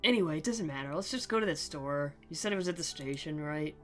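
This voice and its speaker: A woman's voice: deep voice, animated, fast, faint music behind, pitched below the performer's own voice. A woman voicing a self-centered, brooding teenage anime boy in a mock-dramatic visual novel reading. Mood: indifferent.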